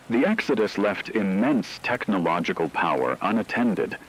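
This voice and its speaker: A man playing an earnest, solemn radio news anchor, reading a scripted broadcast in a formal, measured way. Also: lowish voice, a little hiss or hum, low-quality recording.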